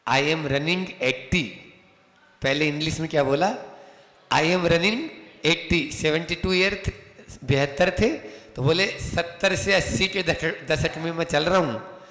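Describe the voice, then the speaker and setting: A man giving a sermon as a monk, telling anecdotes and slowly chanting verses. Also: on the high side, fairly bright tone. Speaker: Jain monk lecturer. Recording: echoey hall reverb.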